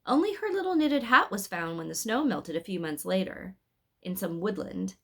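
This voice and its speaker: A woman's voice: mid-range voice, expressive, normal speed, gravelly, fairly bright tone. Sceptical storybook dad, read by a woman. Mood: matter-of-fact. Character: sceptical storybook father, amused and matter-of-fact. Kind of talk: storybook dialogue read aloud.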